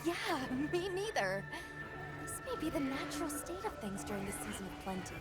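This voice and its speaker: Dutiful young female expedition companion, game cutscene, high and very animated delivery, clear score underneath. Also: moderate pace, loud music behind the voice. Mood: earnest. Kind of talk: video game cutscene acting.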